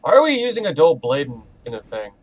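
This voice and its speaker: A man's voice: a little high, huge pitch swings, quick pace. A man giving casual, playful commentary as he plays a video game, full of informal asides. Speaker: gaming video host. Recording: faint game noise behind. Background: video game sounds.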